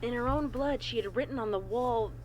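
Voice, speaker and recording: A woman's voice: on the high side, fairly quick, lo-fi sound. A woman reading a scripted horror story as a narrator, in the form of a report. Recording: clear background noise.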